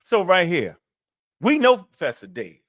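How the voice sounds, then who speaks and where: A man with a high voice co-hosts a live online talk, animated and full of street slang. He keeps tossing in asides to the people he is talking with. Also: a little slow. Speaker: co-host. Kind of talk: casual live-stream chat.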